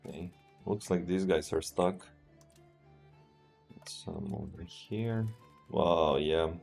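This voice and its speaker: A man with a Serbian accent, a streamer thinking aloud as he plays a strategy game in a relaxed, halting way and answering chat. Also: sits low, vocal fry, soft background music. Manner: relaxed, thinking aloud, often trails off and restarts. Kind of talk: live gameplay commentary.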